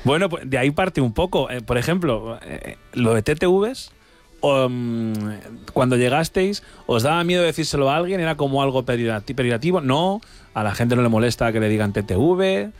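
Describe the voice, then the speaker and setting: A male interview host with an ordinary, mid-pitched voice. He keeps the conversation moving with curious, rapid follow-up questions. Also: expressive, faint music behind. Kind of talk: radio interview.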